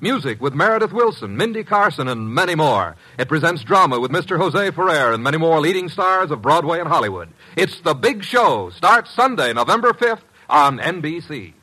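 A man's voice: on the high side, very animated, normal speed, hardly any pauses, sharp tone, slightly rough, rough recording. A man announcing in a polished, promotional 1950s radio style, reading from a script.

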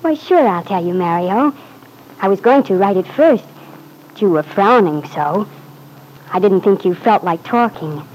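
An actress playing a sweet, anxious young wife, eager and placating, in an old-time radio soap opera. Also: female voice, mid-range voice, animated, unhurried, darkish tone, a little hiss or hum, lightly acted, poor audio. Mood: bewildered, hurt.

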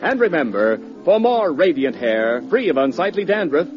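A man's voice: a little high, very animated, normal speed, music in the background. A man announcing on 1940s radio, with polished, emphatic sales delivery. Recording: muffled old radio broadcast.

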